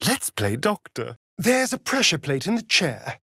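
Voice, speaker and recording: A sly vampire rogue from a fantasy video game. He talks in an arch, mocking drawl, unhurried and theatrical, with pitch that swoops freely. The sound is dry and close, every vain aside savored. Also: huge pitch swings, sharp tone, breathy edge, no echo, full character voice. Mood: wry, casual.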